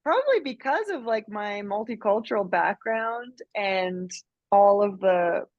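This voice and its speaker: A bubbly woman in her thirties from Mexico chats as a podcast guest and laughs easily. Her voice sits at a middle pitch, and the audio is slightly muffled and dry. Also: expressive, a little slow, dry recording. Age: 30s. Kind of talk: casual interview chat.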